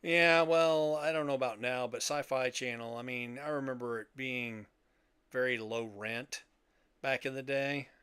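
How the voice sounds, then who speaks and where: Casting: older male co-host, clear resonant voice at medium pitch, relaxed podcast conversation. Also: unhurried, dry recording. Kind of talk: casual chat about sci-fi and old radio heroes.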